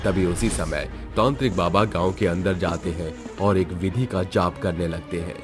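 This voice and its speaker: A man's voice: deep voice, moderate pace, music in the background. A man narrating a scripted horror story in a dramatic storytelling style.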